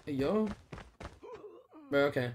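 A young man with a fairly high voice talks in a relaxed, rambling way while he plays, answering chat between rounds. Game sounds and clicks stay clearly audible behind his headset mic. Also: takes it slowly. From Philippines. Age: young adult. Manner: laid-back, rambling, reads chat aloud, swears casually. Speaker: mobile game streamer. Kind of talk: casual livestream chat while playing. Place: small room indoors. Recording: game audio noise behind voice.

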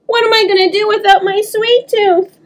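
A woman voicing a dramatic, demanding little girl from a children's storybook, exclaiming and whining in drawn-out words. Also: very high voice, steady tone, voice pushed up. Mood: worried. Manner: exclaiming, drawn-out, whiny complaining. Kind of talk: children's storybook read-aloud.

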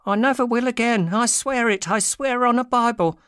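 A woman's voice: fairly high pitch, moderate pace, a bit bright, clear, resonant tone, dry recording, natural voice. Woman playing a cowardly, nervous little man, dry sound. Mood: desperate, pleading. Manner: nervous, pleading, deferential. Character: small, cowardly, frightened man, pleading and servile. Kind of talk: audiobook dramatic reading.

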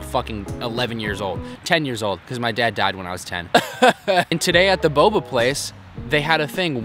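A man's voice: medium pitch, fairly bright tone. A young man vlogging to camera in a city park, talking bluntly and swearing a lot, mocking himself as he goes. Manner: crude, blunt, self-mocking, heavy swearing. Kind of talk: casual vlog talk to camera.

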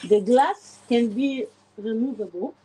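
A woman's voice: a little high, slow, slight background noise. Chatty woman in her forties, livestreaming. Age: 40s. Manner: chatty, warmly greets viewers by name. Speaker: livestreamer cleaning her oven. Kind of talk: casual live commentary while cleaning. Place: home kitchen, indoors. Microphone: phone camera pointed at the oven.

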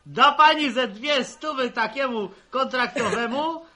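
A man's voice: extremely high pitch, bright, forceful tone, full character voice. An actor playing a brash young village man hamming it up as a slick trader in a 1960s radio drama. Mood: cocky, wheedling. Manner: fast-talking, cocky, theatrical.

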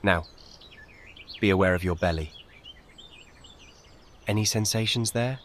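A man guides a meditation as scripted narration, speaking calmly in measured, soothing, short and gentle instructions. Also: fairly low pitch, a little hiss or hum.

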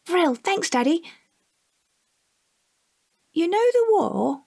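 A woman's voice: extremely high pitch, very animated, slow pace, vocal fry, a little mellow, no room sound, exaggerated voice. A woman with a British accent playing a curious, eager young child in a radio play. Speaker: actor. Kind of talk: radio drama dialogue.

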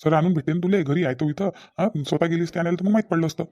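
A man's voice: fairly high pitch, very fast, mellow, muffled recording, lightly acted. A man voicing a defensive, irritable village husband and father in a comedy cartoon, with a rural Vidarbha Marathi accent, arguing and repeating himself. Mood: irritated, defensive. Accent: rural Maharashtrian, Vidarbha. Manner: argumentative, self-justifying, repeats himself.

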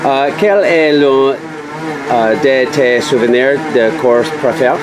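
A male interviewer, a native English speaker, asking simple questions haltingly and carefully with an English-speaking accent. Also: mid-range voice, background noise. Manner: halting, careful delivery in learner's French. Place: outdoors at a race festival, off camera. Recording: outdoor event with loud crowd bustle.

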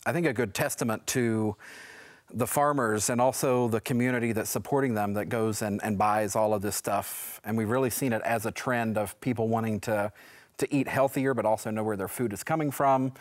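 A man's voice: lowish voice, dry recording. An American man in his thirties talking conversationally as an educator guest on a studio panel. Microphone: lapel mic.

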